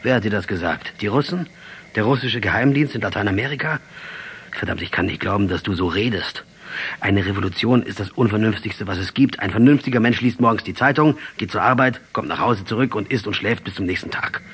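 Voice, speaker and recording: Defiant revolutionary, lowish voice. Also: male voice, fairly quick, fairly bright tone, slightly rough, full character voice. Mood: scornful. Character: defiant, sardonic Argentine revolutionary leader, adult man. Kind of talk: radio drama acting.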